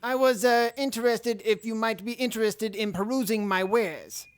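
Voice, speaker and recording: Man acting a smooth-voiced, hapless peddler. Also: sits high, normal speed, natural voice. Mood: casual, hopeful. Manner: hesitant, informal. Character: casual, hapless peddler selling junk. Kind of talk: comic radio sketch advertisement.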